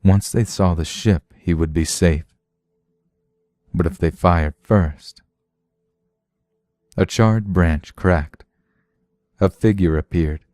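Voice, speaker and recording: A man narrating a scripted dramatic story in a measured, suspenseful manner. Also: low-pitched, mellow, dry recording.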